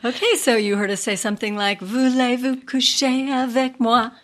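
A woman's voice: on the high side, steady tone, normal speed, fairly bright tone. A woman co-hosting a podcast in casual banter, talking in a giggly, flustered way. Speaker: podcast co-host.

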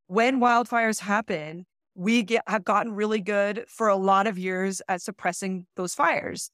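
A woman's voice: medium pitch, no room sound, clean studio sound. Woman explaining forest science on a panel. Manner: explanatory, uses plain analogies. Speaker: natural resources specialist. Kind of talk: panel talk at a conference.

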